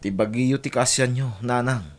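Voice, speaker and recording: A man's voice: medium pitch, moderate pace, lightly acted. A man playing a protective, resolute grown son with an Ilocano accent in a radio-style family drama, speaking earnestly. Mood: earnest, determined. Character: protective, resolute grown child devoted to suffering mother.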